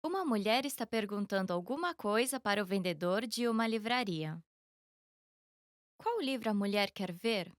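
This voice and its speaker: A woman reading a scripted listening dialogue for learners in a clear, measured way. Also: mid-range voice, lively intonation, dry recording, clean studio sound. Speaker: dialogue narrator and speaker.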